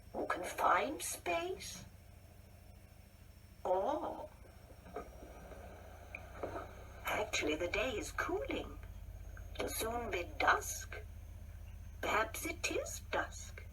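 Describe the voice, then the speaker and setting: A woman playing a genteel older married woman in a radio play, with a theatrical, halting, wistful delivery. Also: sits high, pushed and bright, exaggerated voice. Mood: tender, coquettish. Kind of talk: radio play monologue acting. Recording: distant mic with faint background noise.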